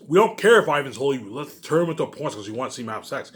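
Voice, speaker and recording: A man's voice: medium pitch, very animated, racing, a bit bright. A man delivering a rambling, emphatic monologue straight to his viewers, repetitive, profane and accusatory in manner. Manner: emphatic and repetitive, punctuated with muttered tag words. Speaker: vlogger.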